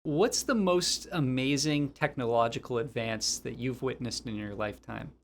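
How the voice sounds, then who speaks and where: A man's voice: mid-range voice, normal speed. A man interviewing someone conversationally, putting questions about life and memories.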